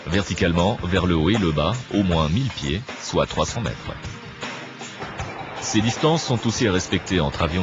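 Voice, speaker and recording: A man reading a scripted documentary narration in a measured, didactic manner. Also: sits low, lo-fi sound. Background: clear background music.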